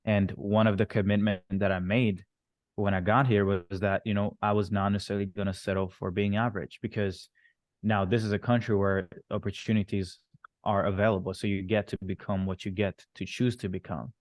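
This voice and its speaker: A man with a fairly low voice, recorded dry with little room sound. He grew up in Rwanda and then Canada, and he talks casually as an interview guest, often circling back to rephrase his points. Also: level tone, fairly quick, no echo. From born in Rwanda, moved to Canada at thirteen. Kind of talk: casual interview, telling his own story.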